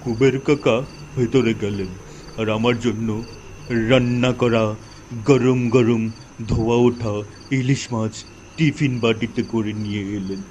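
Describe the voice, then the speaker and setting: A man's voice: medium pitch, steady tone, a little slow, pauses often, darkish tone, dull audio, a little hiss or hum. A man narrating a scripted horror story in the first person, in a dramatic style. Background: music, night insects.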